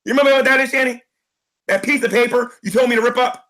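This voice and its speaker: A hoarse man in a heated livestream argument, insistently repeating the other person's name as he pleads and accuses. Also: extremely high pitch, quick pace, bright, forceful tone. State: hoarse, losing his voice. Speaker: YouTuber.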